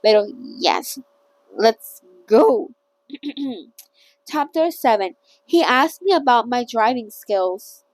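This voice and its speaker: A woman's voice: on the high side, animated, slow pace, pauses often, dry recording, low-quality recording. A woman hosting a casual read-along of a novel, chatty and stumbling now and then over hard words. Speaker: channel host reading a novel aloud.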